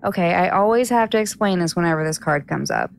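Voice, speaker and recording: Creaky-voiced wry tarot reader. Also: female voice, lowish voice, fast, a little hiss or hum. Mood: wry, explaining. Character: wry, reassuring female tarot card reader. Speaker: actor in an audio drama. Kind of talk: audio drama dialogue.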